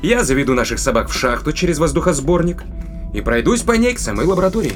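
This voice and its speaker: An actor playing a cold, calculating spaceship crewman in an audio drama, speaking in a deliberate, commanding way with a cruel streak. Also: a little high, big pitch swings, faint music behind. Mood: calculating, firm.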